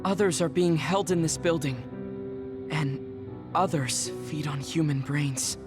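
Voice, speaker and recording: A man's voice: fairly high pitch, slow, over loud music. An actor playing a serious, dutiful male soldier in a video game cutscene. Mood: grave.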